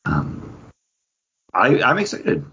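A man's voice: lowish voice, huge pitch swings, takes it slowly, bright, forceful tone, slightly rough, muffled recording. A man co-hosting a podcast, chatting casually and enthusiastically about geek news. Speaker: podcast co-host.

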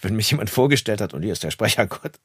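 A man's voice: fairly low pitch, expressive, quick pace, fairly bright tone, slightly rough, no room sound. A man speaking casually and modestly as an interview guest, an audiobook narrator reflecting on his work in a studio. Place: recording studio.

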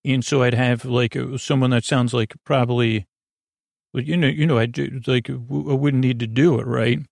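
A man with a gravelly voice hosting a sleep podcast in a rambling, goofy monologue, halting and interrupting himself as he wanders off on digressions. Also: medium pitch, fairly even intonation, a little mellow, no echo, studio quality. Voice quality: creaky, gravelly. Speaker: podcast host.

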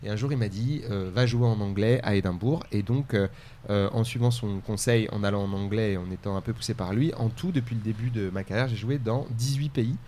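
A man from France, a stand-up comedian, chatting fast and enthusiastically as a podcast guest with many fillers. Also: lowish voice, mellow, slight background noise. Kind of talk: casual podcast chat.

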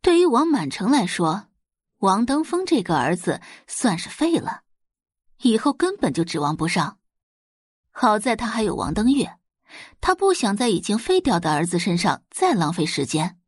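A woman's voice: medium pitch, big pitch swings, moderate pace, husky, dry recording, studio quality. A woman reading scripted dramatic narration as the narrator of an audio drama.

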